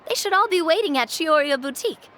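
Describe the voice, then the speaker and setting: Game role: excitable young gamer girl, high and very lively, playful, music underneath. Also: a little hiss or hum. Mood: teasing, excited. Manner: excitable, playful, drawn-out emphatic delivery. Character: bubbly, eager young woman, a keen roleplay gamer. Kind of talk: video game voice acting. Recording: music bed behind the voice.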